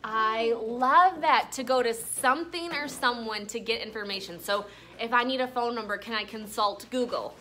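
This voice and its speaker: Casting: female elementary teacher, higher-pitched bright voice, interactive classroom delivery that keeps checking understanding. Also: moderate pace, few pauses. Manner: prompting with questions and check-ins. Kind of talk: interactive classroom vocabulary lesson and read-aloud. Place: classroom with pupils.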